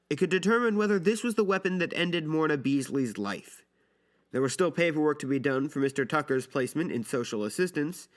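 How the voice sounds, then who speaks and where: A man narrating a scripted dramatic story for an audio drama. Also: a little high. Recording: background music under the voice. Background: music.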